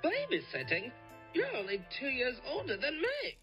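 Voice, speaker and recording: A man's voice: very high-pitched, wildly swooping pitch, moderate pace, highs cut off, soft background music, full character voice. A man voicing an eager, naive young diesel engine sidekick in a cartoon dub. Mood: puzzled. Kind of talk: cartoon fan dub acting.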